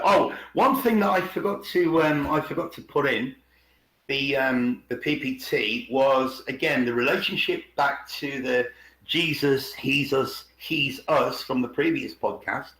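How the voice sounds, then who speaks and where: A man co-hosting a podcast, explaining conversationally and slowing down to stress key words. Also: a little high, fairly bright tone. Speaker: podcast co-host. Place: indoors on an online group call. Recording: roomy, echoing online call.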